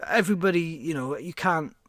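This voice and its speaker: A man's voice: on the high side, fairly quick, a bit bright, dry recording. A Welsh man in his forties, a Welsh speaker and radio presenter, talking casually as a podcast guest with plenty of fillers and restarts. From Wales. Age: 40s. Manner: rambling, many fillers and restarts. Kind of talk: casual conversation.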